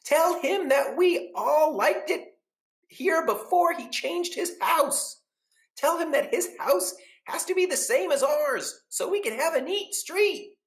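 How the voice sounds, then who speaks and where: Indignant storybook neighbour: very high, strained, drawn-out scolding. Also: male voice, expressive, roomy sound, exaggerated voice. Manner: drawn-out, exaggerated complaining. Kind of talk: children's storybook character voice.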